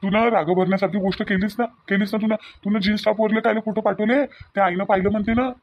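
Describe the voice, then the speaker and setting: Man as agitated young husband, Varhadi Marathi accent, unusually high voice, rapid repetitive family-drama delivery. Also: steady tone, lo-fi sound. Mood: annoyed. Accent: Varhadi Marathi of Vidarbha. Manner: agitated, repeats himself. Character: flustered, nagging young newlywed husband. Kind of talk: amateur family comedy-drama dialogue.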